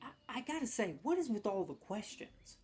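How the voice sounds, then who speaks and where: A man's voice: high-pitched, very animated, moderate pace, a little mellow. A man hosting a comedic video, ranting sarcastically with mock outrage as he scores game voice lines.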